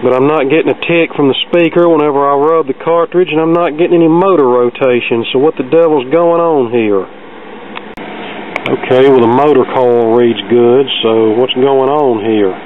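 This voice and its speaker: A man with a mid-range voice talks through repair work in a plainspoken, methodical way. The sound is thin and phone-like, with clear background noise. His delivery is casual and matter-of-fact, like a hobbyist showing his work. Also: medium pitch, steady tone, moderate pace. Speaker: host, vintage record player repair hobbyist. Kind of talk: casual hands-on repair narration.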